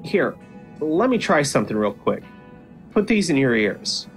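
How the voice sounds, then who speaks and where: An awkward, friendly youngster talks in a low but very animated voice. The audio drama lines come out hesitant and stammering, with faint music behind. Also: female voice, a little slow.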